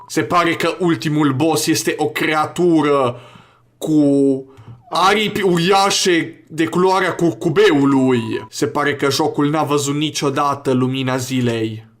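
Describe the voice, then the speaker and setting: A man's voice: a little high, a bit bright. A man giving casual, crude and joking gameplay commentary, reading game text aloud as he goes. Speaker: gaming video host. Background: video game music.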